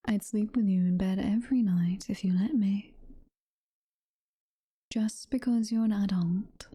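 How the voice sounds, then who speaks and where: A woman's voice: mid-range voice, dark tone, husky, dry recording, studio quality. A British woman playing a doting, possessive demon girlfriend in an intimate ASMR roleplay, whispering and cooing in a motherly way. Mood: sweet, coaxing. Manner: whispered, slow, intimate cooing with pet names. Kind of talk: soft-spoken ASMR roleplay.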